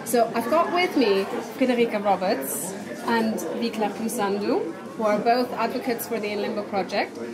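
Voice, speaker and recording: A middle-aged woman hosting a casual podcast chat, chatty and quick to laugh. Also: a little high, poor audio. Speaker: podcast host. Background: crowd chatter.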